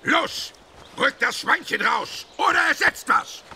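Villain for kids' audio play: scheming whiny thief, piercing tone, wildly swooping delivery, theatrical bluster. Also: male voice, extremely high pitch, animated, takes it slowly, creaky, pushed and bright, slight background noise, heavily acted. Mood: gloating, menacing. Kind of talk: children's audio drama acting.

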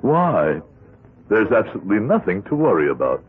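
An actor playing an evasive, buttoned-up accountant in a 1970s radio drama. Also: male voice, lowish voice, wildly swooping pitch, moderate pace, very noisy. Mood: formal, guarded. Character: evasive, buttoned-up accountant leading a double life. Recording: thin lo-fi vintage radio recording.

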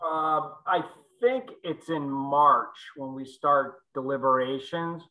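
A man on a video call weighing budget timing out loud, hesitant and full of fillers. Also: on the high side, takes it slowly, poor audio. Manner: hesitant, musing, many fillers. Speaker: committee member. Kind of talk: meeting discussion.

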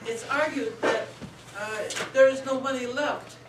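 Indignant woman, halting, amid clattering dishes. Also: high voice, steady tone, noisy background. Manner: halting, indignant, many uh pauses. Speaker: audience speaker. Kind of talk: impromptu floor speech. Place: restaurant meeting room. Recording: distant, echoey room with loud dish clatter.

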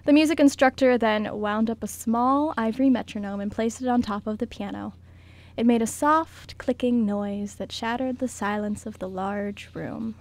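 A woman's voice: a little high, normal speed. A woman reading scripted narration for a radio play as its narrator.

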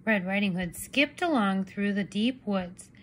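A woman's voice: medium pitch, lively intonation, measured pace. A woman in her thirties narrating a children's storybook expressively, as a teacher reading aloud.

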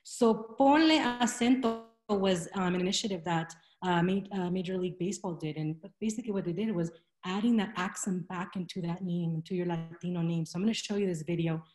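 A woman's voice: lowish voice, brisk, darkish tone. A woman in her thirties presenting on a video call from a room at home, chatty, with many fillers and restarts. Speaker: presenter in a webinar. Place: home room with window blinds. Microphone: laptop webcam on a video call. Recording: roomy echo.

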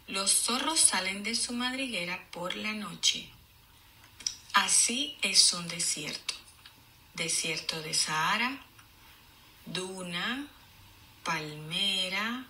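A woman teacher reading aloud to children in a deliberate, explanatory way, enunciating for young learners. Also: medium pitch, pushed and bright, slight background noise. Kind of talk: children's read-aloud lesson.

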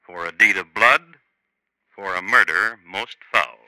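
A man playing a wry, dryly ironic crime storyteller, deliberate and theatrical, narrating in 1950s radio style. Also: low-pitched, sharp tone, slight background noise, exaggerated voice, poor audio. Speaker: host and narrator. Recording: vintage 1950s radio recording.

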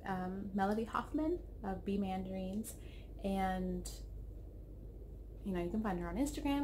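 A woman in her thirties chats at her desk webcam in a home craft room, her voice slightly froggy. She rambles and cuts herself off mid-thought in a mid-pitched, easygoing way. A faint hum of room ambience sits under the talk. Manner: rambling, self-interrupting, chatty. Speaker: knitting podcast host. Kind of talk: casual chat.

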